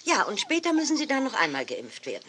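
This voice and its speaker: A woman's voice: on the high side, huge pitch swings, fast talker, noisy background. An actress playing a kindly, instructive woman dog breeder in a 1980s children's audio play, explaining things expressively.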